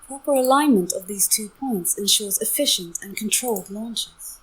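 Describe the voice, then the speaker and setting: Female AI assistant, breathy, medium pitch, formal and helpful explainer. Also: normal speed, poor audio. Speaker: AI voice assistant companion. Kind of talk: clear explanatory briefing, scripted-sounding.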